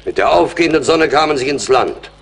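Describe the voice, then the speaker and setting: An actor playing a venerable, weary old Delaware chief in a German radio play, speaking in solemn, ceremonious oratory. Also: male voice, a little high, expressive, a little fast, gravelly. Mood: wistful, wondering. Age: older. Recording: faint background noise.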